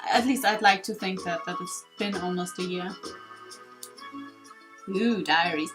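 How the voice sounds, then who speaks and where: A woman's voice: fairly low pitch, measured pace, rough recording. A young woman hosting a let's play, giving casual, rambling game commentary with some hesitation. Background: faint game music.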